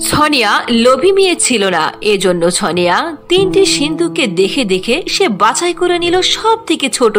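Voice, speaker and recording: High, lively woman storyteller. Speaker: storyteller, narrator. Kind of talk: scripted fairy-tale narration. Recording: clear music under the voice.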